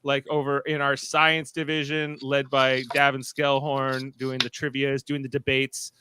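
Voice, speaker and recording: A man's voice: medium pitch, flat delivery, background noise. A man hosting a podcast in casual unscripted chat, rambling and often interrupting himself. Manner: halting, rambling, self-interrupting. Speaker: podcast host.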